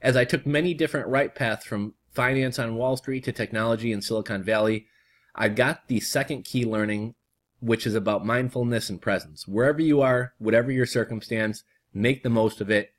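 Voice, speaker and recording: A hoarse young man who reads an article aloud on his vlog and drifts into loose, casual chatter full of fillers. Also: mid-range voice, fairly quick. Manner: reads steadily, then chats loosely with fillers. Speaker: vlogger.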